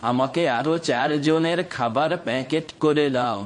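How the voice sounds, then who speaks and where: Guy doing a jolly landlord customer in a cartoon, slightly high voice. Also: moderate pace, natural voice. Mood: hearty. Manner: hearty, praising. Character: appreciative village landlord customer.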